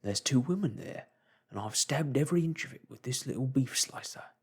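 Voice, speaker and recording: A man's voice: fairly low pitch, expressive, moderate pace, darkish tone, breathy edge, exaggerated voice. A man playing a burly flustered barman. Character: burly, flustered male innkeeper.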